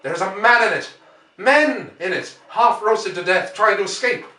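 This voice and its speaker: Man playing an alarmed gentleman, urgent and raised. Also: sits high, animated, unhurried, voice pushed up, full character voice. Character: excited, alarmed Victorian English gentleman. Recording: roomy, distant microphone.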